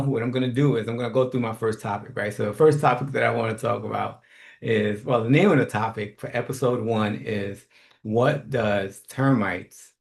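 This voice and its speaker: A man from New York, originally from Haiti, hosting a casual, unscripted online show, blunt and rough around the edges, often checking in with "right?". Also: medium pitch. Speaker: host, property manager and investor. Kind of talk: casual unscripted YouTube monologue.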